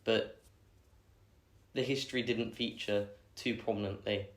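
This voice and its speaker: A man's voice: lowish voice, fairly even intonation. Young man in an echoey room. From England. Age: young adult. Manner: thoughtful, measured, many fillers. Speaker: guest. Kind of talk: casual interview answers.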